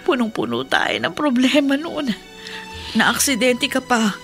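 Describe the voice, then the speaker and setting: An actress playing a troubled, secretive wife and mother in a Filipino radio drama, speaking in a halting, earnest, confessing way. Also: high voice, expressive, a bit bright, faint music behind, heavily acted. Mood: pained, earnest.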